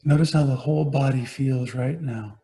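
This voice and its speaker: A man guiding a meditation as a teacher, giving gentle, unhurried instructions with pauses between phrases. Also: mid-range voice. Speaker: meditation teacher, Buddhist monastic. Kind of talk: guided body scan meditation.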